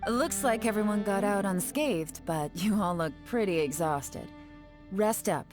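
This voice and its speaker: A woman playing a composed, self-assured, guarded adventurer in a video game, with a cool, measured, matter-of-fact delivery. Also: medium pitch, lively intonation, a little mellow, faint music behind, close to an everyday voice. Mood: businesslike. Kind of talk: video game character acting.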